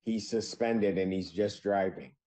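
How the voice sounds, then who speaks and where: An older man with an American accent presiding as a judge in a courtroom over a video call, speaking in a deliberate, measured way. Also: on the low side, warm tone. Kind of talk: formal courtroom proceedings.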